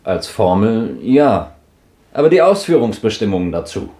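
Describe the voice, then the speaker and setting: A man's voice: fairly low pitch, big pitch swings, normal speed, mellow. An actor playing a dry, ironic old man in a 1950s radio drama, speaking tersely. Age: older.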